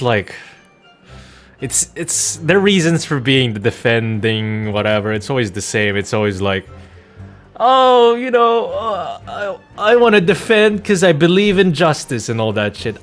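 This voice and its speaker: A man giving casual gameplay commentary as a streamer, slangy and profane in a bantering way. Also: on the high side. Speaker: game streamer and voice actor.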